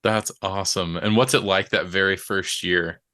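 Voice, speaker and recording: A man hosts a casual interview in a conversational style. His voice is a little low yet bright and forceful, and he responds in an encouraging, affirming manner. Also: steady tone, normal speed. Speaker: interview host.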